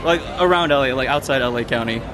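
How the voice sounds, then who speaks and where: A man's voice: mid-range voice, quick pace, a crowd in the background. A man in his twenties with a Californian American accent, chatting casually as he is interviewed. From California, United States. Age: 20s. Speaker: interviewee, exchange student. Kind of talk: casual chat. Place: outdoors on a Tokyo street. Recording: noisy city street.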